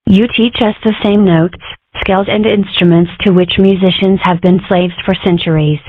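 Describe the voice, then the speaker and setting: A woman's voice: medium pitch, steady tone, moderate pace, no room sound, close to an everyday voice. A mischievous, self-important young fox girl from a cartoon comic dub, acted by a woman. She speaks in theatrical declarations, full of herself. The recording is thin and narrow like a phone line, with no room sound. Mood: indignant. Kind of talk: amateur comic dub character acting. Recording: muffled, tinny phone-like audio.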